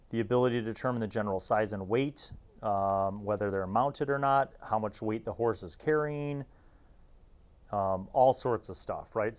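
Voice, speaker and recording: A man in his forties hosting a casual review, talking conversationally with emphatic asides into a lapel mic. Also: lowish voice. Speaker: host of a tabletop gaming review series. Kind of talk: casual review and commentary on a magazine.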